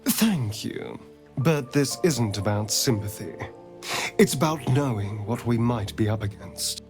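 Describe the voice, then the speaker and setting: A haunted, sardonic male vampire spawn from a fantasy video game, acted out by a man. His pitch swings dramatically and he takes his time over each phrase, wry and bitter, with music behind him. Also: lively intonation, darkish tone, natural voice. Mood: guarded, warning. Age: centuries-old undead. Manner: theatrical, wry, bitter, deliberate with dramatic pauses.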